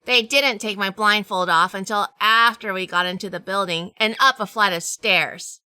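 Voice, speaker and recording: A woman's voice: medium pitch, normal speed, pushed and bright, smooth. A woman playing a sassy, tough, wisecracking private eye in a noir radio drama. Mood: wry, narrating. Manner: wisecracking, feisty. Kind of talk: noir scripted narration with acted dialogue.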